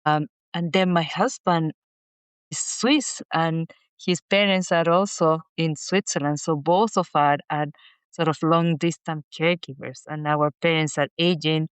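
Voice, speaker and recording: A middle-aged woman from Chile, a guest speaking haltingly with many restarts and repeated words in a casual interview. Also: low voice, smooth, no echo. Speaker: guest, inventor.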